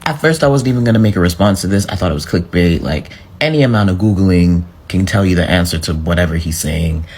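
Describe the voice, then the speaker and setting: Very deep, husky British man. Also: quick pace, a little mellow. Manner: chatty, lots of "like". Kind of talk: casual reaction video.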